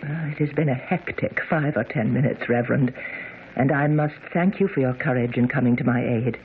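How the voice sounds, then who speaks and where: An actress playing a gracious, composed young wife in a radio drama, polite and solicitous. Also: female voice, rumbling low, a little fast. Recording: muffled, hissy old radio broadcast.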